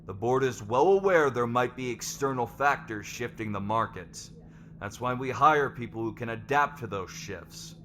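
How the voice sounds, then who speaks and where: A man playing a stern, businesslike lord on a company board, firm and measured, in an ASMR roleplay. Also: mid-range voice, lively intonation, a bit bright, a little hiss or hum, lightly acted. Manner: measured, firm, matter-of-fact.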